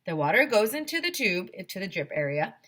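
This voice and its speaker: A woman's voice: mid-range voice, big pitch swings, brisk, fairly bright tone. A woman voicing a boastful, long-winded male handyman in a children's storybook. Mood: droning on. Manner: boastful, droning technical explanations.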